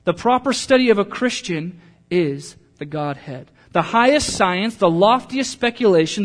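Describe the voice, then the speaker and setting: A man preaching a sermon as pastor, earnest, building to emphatic peaks and then dropping to quiet, intimate lines. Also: high voice.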